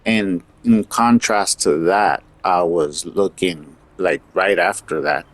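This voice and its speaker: Low-voiced man ranting earnestly. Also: slow pace, no room sound. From Puerto Rico. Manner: earnest, opinionated, talks in loose rambling asides. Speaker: vlogger. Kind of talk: casual opinion monologue.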